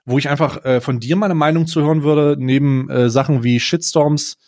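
Casual man, slightly higher voice, dry recording. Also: steady tone, darkish tone, dry recording. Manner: halting, informal, with English slang. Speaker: podcast host. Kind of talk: casual comedic podcast chat.

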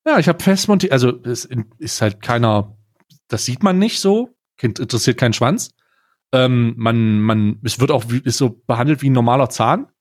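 A man's voice: medium pitch, expressive, moderate pace. A man telling anecdotes casually as a podcast co-host, blunt and slangy, dropping in bits of English.

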